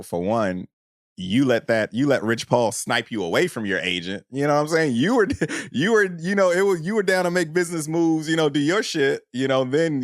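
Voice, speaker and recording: A man's voice: a little high, no echo, studio quality. An American man co-hosting a podcast, chatting casually about sports in slangy, profane language. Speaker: podcast co-host.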